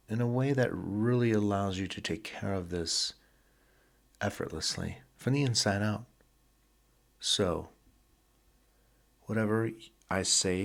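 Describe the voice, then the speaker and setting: A man narrating a sleep hypnosis session in a husky, breathy voice, with a slow, deliberate, soothing delivery and short pauses between phrases. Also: deep voice, fairly bright tone.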